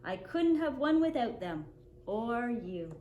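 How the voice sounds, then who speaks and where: A woman's voice: fairly high pitch, sing-song, slow pace, warm tone, highs cut off. A woman voicing a gracious, good-natured male skier in a children's storybook, with an easygoing, appreciative way of talking. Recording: roomy echo, faint background noise.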